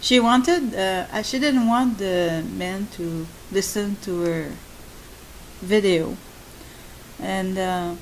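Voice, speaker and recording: A woman with a soft, gentle voice and a non-native English accent speaks to her subscribers at a very slow pace. She pauses often, restarting her sentences and filling the gaps with "uh" and "um." A faint hiss sits under the recording. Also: medium pitch, poor audio. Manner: slow, halting, with many fillers and restarts. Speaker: channel host. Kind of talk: spoken monologue to subscribers.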